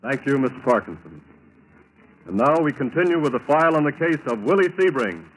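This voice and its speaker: Formal American radio announcer, mid-pitched. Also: male voice, sing-song, fairly quick. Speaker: programme announcer. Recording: noisy 1940s radio broadcast recording.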